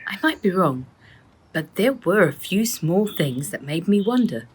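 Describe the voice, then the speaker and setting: A woman author reading her children's storybook aloud as an expressive narrator. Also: fairly low pitch, measured pace, slightly rough. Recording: faint noise and clicks.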